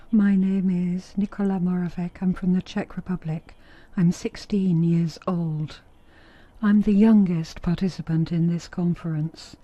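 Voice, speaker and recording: A woman interpreting a short prepared speech live into English, with a steady, even delivery. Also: medium pitch, no echo. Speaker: simultaneous interpreter. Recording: dry, dull booth feed.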